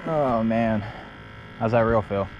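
A man narrating a fishing trip from a small boat, his talk slow and drawn out while he hypes each catch with easy laughter. Wind and water wash clearly behind him on the action camera's mic. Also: lowish voice, highs cut off. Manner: excited, laughs often, hypes every catch. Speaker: fishing guide filming his charter. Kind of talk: casual on-camera fishing commentary. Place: small boat on open shallow flats, outdoors. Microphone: head-mounted action camera. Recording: wind and water noise outdoors.

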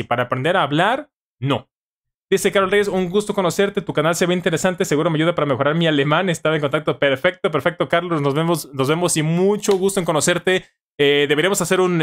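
A Mexican man in his thirties with a slightly high voice talks casually as a live-stream host. He is informal and conversational, often doubling phrases for emphasis, and speaks close into a studio mic in a small home room. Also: fairly bright tone. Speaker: live-stream host, language-learning tutor. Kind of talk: casual live chat answering viewer questions. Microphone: studio microphone on boom arm, close.

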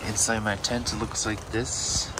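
A man's voice: lowish voice, level tone, measured pace. A man vlogging casually on location, giving off-the-cuff updates into a handheld camera. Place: on location, mostly outdoors at a campsite. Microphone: handheld camera or phone. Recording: loud ambient noise on camera mic.

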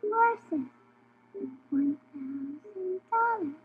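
A woman's voice: very high voice, lively intonation, drawn-out words, full character voice. A woman voicing an impassive talking cash register that calls out items and prices in a clipped, mechanical, detached way. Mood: detached, announcing. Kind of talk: stylized audio theater announcements. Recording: tinny band-limited sound, faint music.

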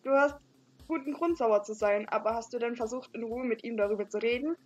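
A woman's voice: a little high, fairly quick, a little mellow, muffled recording, faint music behind. A young woman hosting a let's play, reading visual novel dialogue aloud with playful, giggly asides. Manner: giggly, reads lines playfully.

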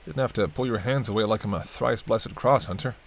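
A man's voice: fairly low pitch, brisk, gravelly. A man playing a sardonic, teasing vampire in an audio roleplay, talking casually and wryly to the listener as a reluctant companion. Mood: teasing, watchful. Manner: wry, bantering, casual, muttered asides. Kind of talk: intimate audio roleplay acting addressed to the listener.

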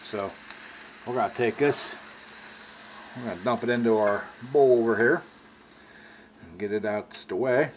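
An American man in his sixties with a folksy manner, casually talking through a cooking demonstration as a home cook. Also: mid-range voice. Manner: folksy, drawn-out asides with y'all. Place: home kitchen, indoors at the stove. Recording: clearly noisy home kitchen recording.

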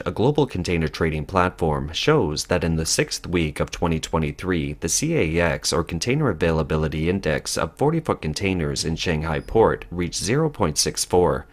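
Male news voice-over, very low and expressive, steady scripted read. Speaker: narrator.

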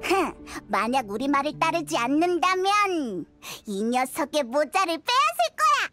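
A woman voicing a small, cheeky, excitable floating fairy girl companion for a video game, with a squeaky childlike voice. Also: unhurried, soft background music, full character voice. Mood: threatening. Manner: blunt, excitable, huffy.

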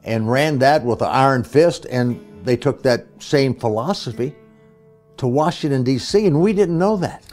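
A man's voice: mid-range voice, moderate pace, darkish tone, faint music behind. A man in his sixties talking politics emphatically in a chat.

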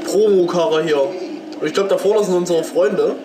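A man's voice: high voice, unhurried, over a noisy crowd, rough recording. A man with a slight cold and sore throat, chatting and joking as he comments on his own gameplay. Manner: chatty, joking, talks to the game. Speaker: let's play gamer. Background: game music.

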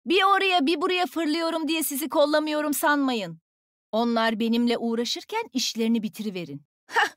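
A woman playing a cocky, agile young female fighter in a video game, energetic, playful and teasing. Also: high-pitched, no echo, clean studio sound. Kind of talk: game character voice lines, short combat callouts.

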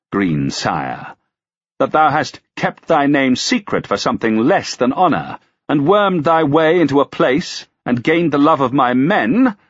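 A man's voice: mid-range voice, no echo, highs cut off, voice pushed up. A man voicing an imperious, suspicious medieval duke with archaic, commanding, sardonic speech in an audiobook. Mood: silken suspicion. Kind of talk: dramatised audiobook dialogue.

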